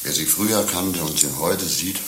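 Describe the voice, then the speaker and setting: A man playing a cynical, coarse pimp in a satirical radio play, bragging slyly with knowing pauses and mock tenderness. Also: sits low, natural voice. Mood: smug confiding. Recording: roomy, with faint hiss.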